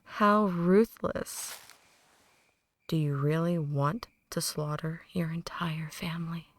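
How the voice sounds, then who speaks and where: A woman performs an ancient female vampire in an intimate ASMR roleplay. Her voice is low, soft and breathy, slipping often into whispers. She takes her time over each phrase, condescending and quietly menacing, like a possessive mentor to a newborn. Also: fairly even intonation. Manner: deliberate, condescending, softly menacing. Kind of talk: ASMR roleplay, slow intimate dramatic monologue.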